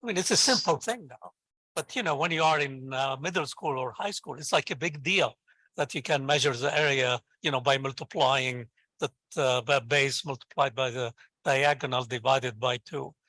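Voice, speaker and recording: A man's voice: medium pitch, moderate pace, sharp tone, no echo. An older man with a Lebanese accent, a native Arabic speaker, answering interview questions in an enthusiastic, encouraging, conversational way. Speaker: invited guest, professor and scientist.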